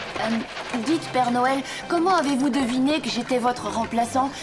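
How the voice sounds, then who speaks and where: A woman's voice: high voice, unhurried. A woman voicing an earnest, self-doubting orphan boy in a French children's cartoon. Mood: puzzled. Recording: music behind the voice.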